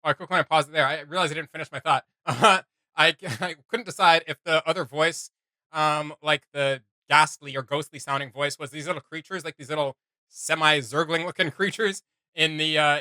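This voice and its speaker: A man's voice: a little high, bright, forceful tone, no echo. A man hosting a reaction video, commenting casually in an amused, rambling way.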